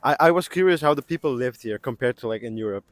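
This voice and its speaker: A young man from the Netherlands talks through a street interview in an ordinary mid-pitched voice. He is relaxed and often restarts his sentences. The recording is dry and close. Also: quick pace, no room sound. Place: outdoors on a street.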